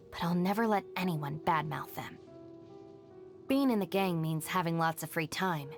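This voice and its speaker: A woman's voice: on the low side, natural voice. An actress playing a level-headed, self-reliant young woman in a video game, speaking in a composed, dry, matter-of-fact way. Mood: calm, assured. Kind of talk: scripted video game character voice lines. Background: background music.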